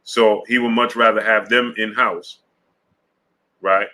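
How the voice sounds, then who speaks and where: A man's voice: fairly low pitch, fairly even intonation, slow, bright, forceful tone. A man hosting a live-stream boxing show, greeting viewers in the chat and talking through his views with many fillers and restarts, often checking in with "right?". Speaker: sports show host.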